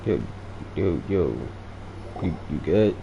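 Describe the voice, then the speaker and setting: A man's voice: low voice, lively intonation, takes it slowly, creaky, highs cut off, a crowd in the background. A man giving casual gameplay commentary, slangy, profane and teasing. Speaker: game streamer. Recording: faint game noise behind the voice. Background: video game audio.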